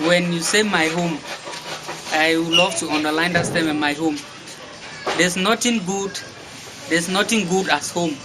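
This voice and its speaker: A young Cameroonian man with a Cameroonian English accent, giving interview answers with many fillers and restarts. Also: sits high. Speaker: guest. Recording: noisy background.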